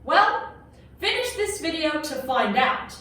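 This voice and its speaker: A woman storyteller reading a children's storybook aloud in a deliberate, expressive way. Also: very high voice. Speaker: storyteller and host. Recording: roomy echo.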